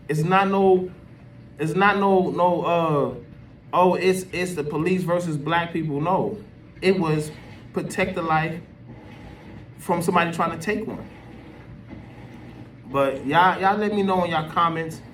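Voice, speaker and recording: A high-pitched American man delivers off-the-cuff commentary for social media, heard at some distance from the microphone. He talks in an emphatic, colloquial way and often repeats himself to drive a point home. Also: a little slow, pauses often, a little hiss or hum. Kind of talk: unscripted opinion rant to camera. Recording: voice distant from the mic.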